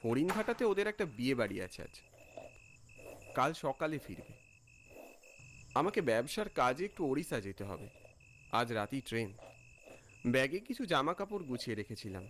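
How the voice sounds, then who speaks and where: A man playing an irritable, thrifty office worker, telling his eerie evening as an expressive first-person cartoon story. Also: medium pitch, moderate pace, long pauses, noisy background, natural voice. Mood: brooding, puzzled. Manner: expressive, exclaiming and questioning in dialogue. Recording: music bed behind the voice. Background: music.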